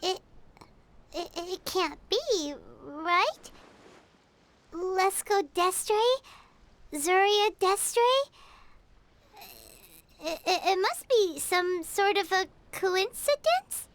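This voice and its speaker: A woman voicing a small, earnest, anxious childlike fairy companion in a video game, squeaky and hesitant, with a stammer. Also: animated, extremely slow, pauses often, a bit bright, a little hiss or hum, exaggerated voice. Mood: shocked disbelief.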